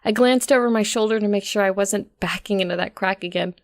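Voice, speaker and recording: A woman narrates a story expressively in a medium-pitched voice. There is a noticeable creak in her delivery as she reads the scripted prose. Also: fairly quick.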